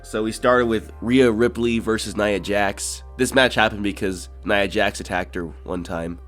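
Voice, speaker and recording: A man's voice: lowish voice, a little slow, a bit bright, faint music behind. A young man co-hosting a casual wrestling chat, recapping in a wry, giggly way. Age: young adult. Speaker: co-host.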